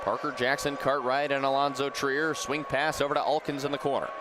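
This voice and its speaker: A young American man calling live basketball play-by-play on radio with a projected, energetic delivery. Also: medium pitch, brisk. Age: young adult. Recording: noisy arena with crowd behind. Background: basketball crowd and bouncing balls.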